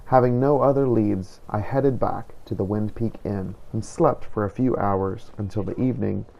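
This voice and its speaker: A man voicing a solemn, righteous vampire-hunting inquisitor, narrating his hunt in formal, measured, old-fashioned phrasing for a game roleplay. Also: on the low side, gravelly, a little mellow, highs cut off. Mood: grim, resolute. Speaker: video game player narrating in character. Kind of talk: scripted first-person roleplay narration.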